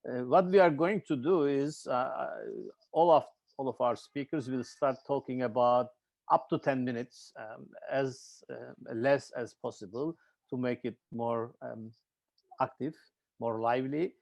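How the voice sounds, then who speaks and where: A man from Turkey with a Turkish accent, moderating an online panel in a halting, hesitant way with frequent repeated words. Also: medium pitch, fairly even intonation, creaky, a little mellow, no room sound. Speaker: webinar host and moderator. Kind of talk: informal spoken introduction of a panel. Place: online video webinar.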